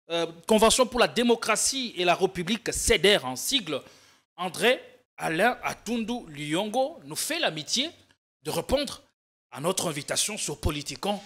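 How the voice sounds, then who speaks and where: A young man hosting a political TV interview show, animated and energetic as he introduces his guest and asks questions. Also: sits high. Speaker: TV talk show host. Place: TV studio. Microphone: desk microphone.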